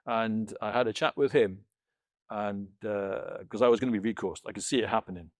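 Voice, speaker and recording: A man's voice: on the low side, normal speed, darkish tone, no echo, clean studio sound. A middle-aged man with a British accent, telling anecdotes conversationally as an interview guest. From United Kingdom. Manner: anecdotal, re-enacts dialogue as he tells it. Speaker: interview guest, former military linguist. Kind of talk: conversational storytelling.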